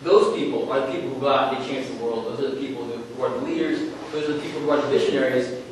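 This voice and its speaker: Young male co-presenter, measured, lists his points, distant and echoey. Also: mid-range voice. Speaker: workshop co-presenter. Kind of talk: conference workshop talk. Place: conference room, speaking to an audience. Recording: echoey room, distant mic, faint noise.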